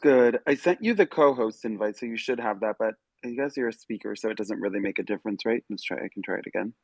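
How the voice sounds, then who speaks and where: Young male host, fairly low voice, dry close mic, friendly rambling chat with lots of fillers. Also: fast talker, no room sound. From Miami. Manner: chatty, upbeat, lots of fillers. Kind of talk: casual live audio chat.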